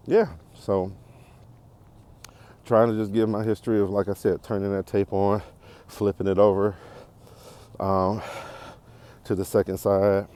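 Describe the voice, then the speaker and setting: An American man in his forties talking casually and unscripted as a podcast host, in a reflective, halting, rambling way while walking outdoors. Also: sits low, warm tone. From Detroit area, United States. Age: 40s. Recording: clearly noisy outdoor walking recording.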